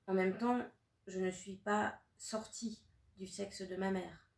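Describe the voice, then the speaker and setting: A woman's voice: mid-range voice, fairly even intonation, creaky, a little mellow. Russian-born woman reading in an echoey room. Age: 30s. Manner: measured, deliberate delivery with long pauses. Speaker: author. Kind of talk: literary reading of her own poetic prose. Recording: roomy sound from a distant mic.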